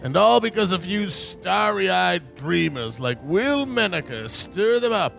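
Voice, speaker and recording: A scornful old miser villain, extremely creaky and swooping in pitch. He sneers pompously in a parody radio play, with music faintly behind. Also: big pitch swings, measured pace, a bit bright, full character voice. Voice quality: creaky, gravelly.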